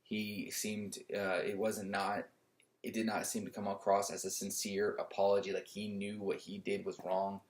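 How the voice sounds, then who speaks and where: A young adult man ranting at home for his channel in a loud voice, indignant and repetitive, often restarting his sentences. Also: fairly low pitch, monotone, normal speed. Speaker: YouTuber. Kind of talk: casual opinion rant to camera.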